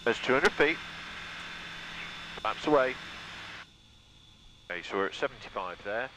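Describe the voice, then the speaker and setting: A man's voice: mid-range voice, animated, a little slow, pushed and bright, poor audio. British man vlogging over cockpit noise. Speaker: student pilot, vlogger. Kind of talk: vlog narration with cockpit commentary. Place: small microlight aircraft cockpit.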